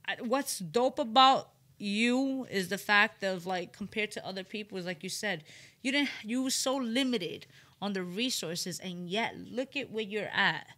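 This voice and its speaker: A woman hosts a conversational interview. Her voice is bright and forceful at a middle pitch. She talks in slangy, affirming phrases, often dropping in "you know" as she encourages her guest. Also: unhurried. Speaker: interview show host.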